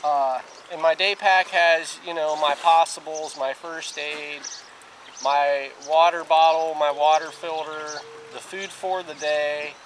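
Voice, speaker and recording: A man's voice: fairly high pitch, fairly even intonation, slow, a bit bright, rough recording. A man in his thirties talking casually and plainly, explaining things step by step for an outdoor vlog, recorded outside on a camera a few feet away. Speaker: outdoor vlogger. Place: outdoors, grassy yard and lakeside. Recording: outdoor camera with clear background noise.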